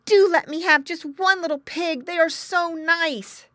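A woman voicing an eager, excitable young boy in a children's storybook, gushing and pleading. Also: extremely high pitch, measured pace, no echo, clean studio sound, voice pushed up.

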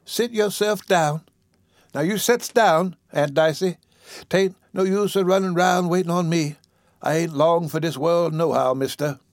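A man acts out a sickly old woman in a storybook tale. The voice is weak, plaintive and raised in pitch, recorded close and dry. She speaks in folksy Southern dialect with pious resignation. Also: unhurried, dry recording. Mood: feebly grateful.

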